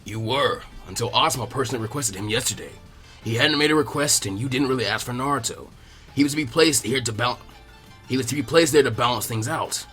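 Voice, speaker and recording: Male reader as old warrior chief in anime fan fiction, lowered voice, wry, assured. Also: fast, pushed and bright, soft background music, close to an everyday voice. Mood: wry, chiding.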